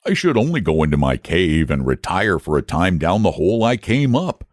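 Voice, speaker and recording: A man's voice: low voice, sing-song, normal speed, no echo, studio quality, pitched above the performer's own voice. A man voicing a lazy, genteel male dragon who drawls in a leisurely, fussy way. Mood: placid.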